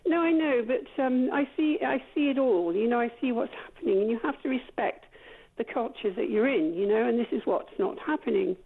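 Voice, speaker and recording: High-voiced woman caller on a phone line. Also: fairly quick, slight background noise. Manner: rambling, hesitant, repeats herself. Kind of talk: phone-in call.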